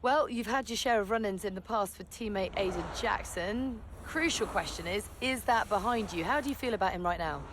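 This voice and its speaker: An actress playing a motorsport TV reporter, asking polished, direct questions in a game cutscene interview. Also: female voice, mid-range voice, fairly quick, hardly any pauses, a bit bright, slight background noise, close to an everyday voice. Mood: probing. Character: poised, probing female motorsport TV reporter.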